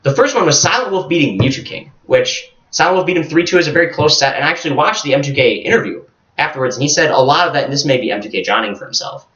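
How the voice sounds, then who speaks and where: A man's voice: fairly high pitch, animated, fast, fairly bright tone. A man co-hosting a casual esports recap, talking like an enthusiastic fan with slangy exclamations. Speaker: co-host of an esports recap show. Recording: roomy, distant mic, dull lo-fi sound.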